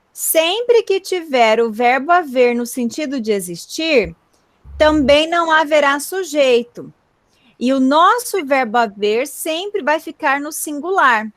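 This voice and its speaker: A woman's voice: high-pitched, a bit bright, no echo. A woman teaching a grammar lesson in an online class, explaining patiently and checking in with her students often. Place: home, online video class. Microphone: computer mic on a video call.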